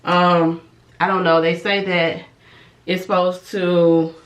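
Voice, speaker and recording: A woman's voice: fairly low pitch, level tone, slow. A woman who is a licensed cosmetologist, chatting casually in a rambling, self-correcting way while reviewing skincare, a little congested from a cold. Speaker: licensed cosmetologist, beauty vlogger. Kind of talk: casual product review and demonstration. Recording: echoey room, mic at a distance.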